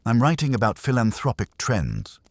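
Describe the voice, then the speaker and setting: A man's voice: mid-range voice, wildly swooping pitch, normal speed, no room sound, pitched above the performer's own voice. A man playing a brash private investigator in an audiobook, glib and wry. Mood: glib, probing. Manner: glib, smooth-talking, wry.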